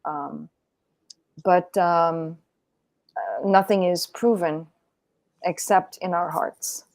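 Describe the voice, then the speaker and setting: A woman with a somewhat low voice talks as an interview guest. Her sound is dry and close, with no room around it. She speaks in a measured, deliberate way and pauses often to think. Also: fairly even intonation, darkish tone, no echo. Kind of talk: reflective interview talk.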